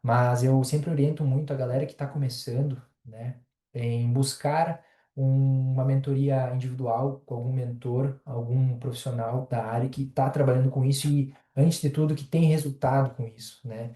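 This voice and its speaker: Dark-voiced man, hesitant. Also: medium pitch, normal speed, echoey room. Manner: relaxed, hesitant with many fillers. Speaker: podcast guest, affiliate marketer. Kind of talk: casual podcast chat.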